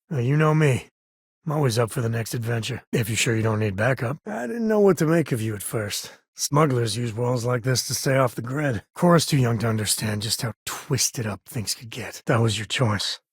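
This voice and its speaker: Video game role: wry frontier spacefarer and father, middle-aged, mid-pitched, slightly rough husky voice, colloquial and easygoing. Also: no room sound, clean studio sound. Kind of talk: video game character dialogue.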